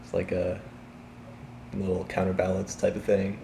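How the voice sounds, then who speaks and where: A young man from Chicago answers interview questions with a very low voice. He hesitates and fills pauses often. The recording is roomy, with faint background noise. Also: monotone, vocal fry, warm tone. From Chicago, Illinois. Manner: hesitant, many fillers. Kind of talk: casual street interview.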